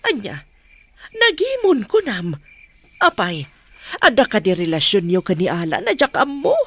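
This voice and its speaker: A woman acts out a concerned, probing mother in a radio drama. Her middle-pitched voice moves in lively, wide swings. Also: measured pace, exaggerated voice. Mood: surprised, probing. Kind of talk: Ilocano radio drama acting.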